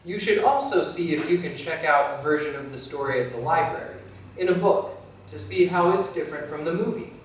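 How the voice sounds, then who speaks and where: A man's voice: a little high, fairly quick, slight background noise, natural voice. A man playing an easygoing young fairytale thief, telling stories to children in a friendly way. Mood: easygoing, upbeat. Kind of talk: scripted children's educational presentation in character. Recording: very echoey room, distant mic, faint music.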